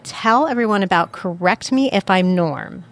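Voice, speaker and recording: An American woman hosting a local podcast, chatting casually and quickly, often laughing. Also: mid-range voice. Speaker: podcast host. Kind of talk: casual chat.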